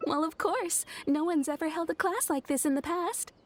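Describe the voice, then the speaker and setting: A woman's voice: very high voice, normal speed, a little hiss or hum. A woman voicing an eager, friendly young female student in a video game, speaking with urgency. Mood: excited, hushed urgency.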